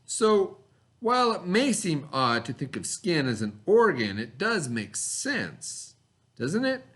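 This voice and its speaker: Higher-voiced man teaching a read-aloud lesson. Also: big pitch swings, a bit bright. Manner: instructional, pausing to pose and answer questions. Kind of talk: read-aloud science lesson for children with asides and questions.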